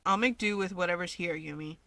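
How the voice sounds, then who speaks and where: A woman's voice: lowish voice, fairly quick, sharp tone, dry recording. A woman voicing a practical young man in a story reading, a middle brother with a sly, teasing streak.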